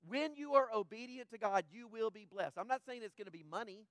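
A man's voice: sits high, a little fast, a bit bright, no room sound, studio quality. A male pastor preaching a conversational sermon, acting out the characters' thoughts and asking the congregation questions.

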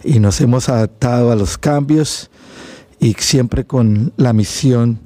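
A man's voice: mid-range voice, slow pace, mellow, no room sound. A Colombian man, a guest speaking on migrant work, friendly and hesitant with fillers. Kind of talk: casual radio chat.

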